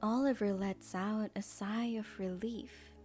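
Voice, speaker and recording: Slow, mellow woman narrator. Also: medium pitch, fairly even intonation, faint music behind. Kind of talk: scripted storybook narration.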